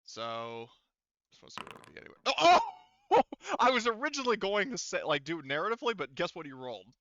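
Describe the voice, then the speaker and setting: A man's voice: high-pitched, sing-song, fast, a bit bright, dry recording, lo-fi sound. A man speaking as game master, running a tabletop role-playing game and explaining rules and outcomes to players.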